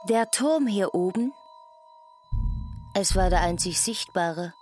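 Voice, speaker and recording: A woman narrating literature deliberately, phrase by phrase, in a scripted style. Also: mid-range voice, lively intonation, darkish tone, soft background music.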